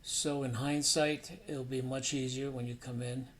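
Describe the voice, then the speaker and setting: A middle-aged man talks through a painting lesson in a casual, meandering way. His voice sits at an ordinary male pitch, and he thinks aloud as he goes. Some background noise runs under the recording. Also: a little slow. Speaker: painting instructor. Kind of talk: casual painting demonstration voice-over. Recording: clear steady background noise.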